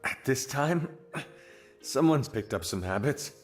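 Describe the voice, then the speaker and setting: An actor playing a wry, world-weary exile in a video game, speaking in a dry, understated way. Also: male voice, mid-range voice, expressive, a little slow, close to an everyday voice. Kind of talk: scripted video game acting. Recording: game music behind the voice. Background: music.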